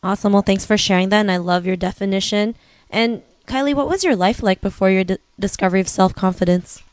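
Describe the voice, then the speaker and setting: Female podcast host, voice gone rough from losing it, smooth tone in a dry room. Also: mid-range voice, fast talker, no echo. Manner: casual, with many "you know" fillers. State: has lost her voice. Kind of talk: podcast interview.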